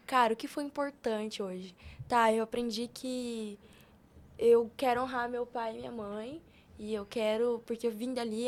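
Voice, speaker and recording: A young woman with a Brazilian accent chatting informally and telling personal stories on a podcast. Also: a little high. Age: young adult. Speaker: podcast guest. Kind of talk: casual podcast conversation.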